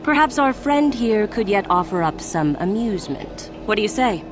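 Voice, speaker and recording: An actress playing a smug, menacing Sith gang leader in a video game, mock-polite, condescending and deliberate. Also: female voice, mid-range voice, big pitch swings, background noise, natural voice. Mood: amused condescension.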